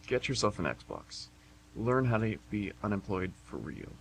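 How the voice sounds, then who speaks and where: A man's voice: on the low side, sing-song, a little slow, creaky, fairly bright tone, slightly rough, no room sound, exaggerated voice, low-quality recording. A man playing a sarcastic, laid-back, unemployed slacker in an amateur radio comedy, mocking and casual. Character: sardonic, unemployed slacker guy. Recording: hum and faint background noise.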